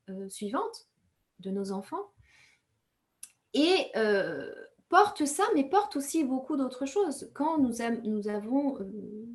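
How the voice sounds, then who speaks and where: A woman from Rwanda, raised speaking Kinyarwanda, giving thoughtful interview answers as an author, measured and pausing often to find her words. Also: on the high side, a little mellow. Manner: thoughtful, measured, with frequent hesitations and self-corrections.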